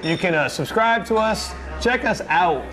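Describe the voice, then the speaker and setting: A man with a high voice chats as co-host of a music podcast. His talk is casual and opinionated. He is emphatic, often repeating a phrase to press his point. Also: animated, moderate pace. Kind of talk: casual opinionated banter between co-hosts.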